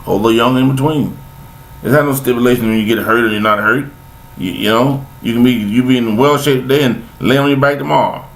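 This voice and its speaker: Gravelly older man preaching folksy advice. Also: medium pitch, brisk, rough recording. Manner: preachy, folksy, repeats himself. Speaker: vlogger giving life commentary. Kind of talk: rambling spoken monologue.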